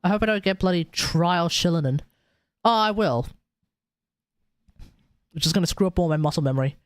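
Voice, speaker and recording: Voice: medium pitch, expressive, moderate pace, smooth, dry recording, studio quality. A game streamer giving casual, informal and reactive live commentary in a chatty way. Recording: game music behind the voice.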